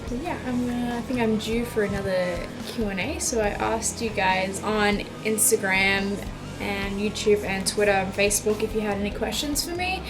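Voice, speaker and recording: A woman in her twenties chatting casually in a video, answering viewer questions with frequent likes and ums. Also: mid-range voice. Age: 20s. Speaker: YouTube musician. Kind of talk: casual Q and A vlog.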